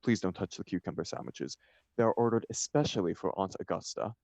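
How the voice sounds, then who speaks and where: A man's voice: deep voice, a little fast, darkish tone, no room sound. A man reading the part of a flippant, idle upper-class bachelor in a stage comedy, with an arch, mock-serious declamatory manner. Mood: teasing, indignant.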